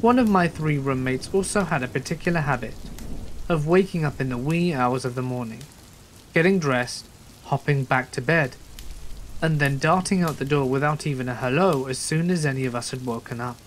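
Mid-pitched man narrating over soft rain. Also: moderate pace, low-quality recording. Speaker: narrator of scary stories. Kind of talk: scripted story narration. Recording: steady rain noise behind. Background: rain and thunderstorm ambience.

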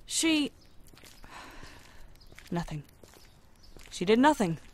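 Woman acting animated, slow-talking young mother. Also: a little high, slight background noise, full character voice. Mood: uneasy. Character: caring, excitable mother of a baby, easily exasperated. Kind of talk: audio drama acting.

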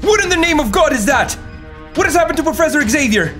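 A man doing a high, frightened teen boy. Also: lively intonation, fast, raised voice, loud music behind the voice. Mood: alarmed. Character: frightened young male student, earnest.

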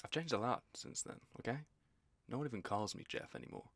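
Audio play: guarded, evasive businessman, forward bright voice, hesitant and curt, dry sound. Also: mid-range voice, expressive, fast, creaky, no room sound. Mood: defensive, evasive. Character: guarded, reluctant, curt man, Wall Street worker. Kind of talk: naturalistic audio drama dialogue.

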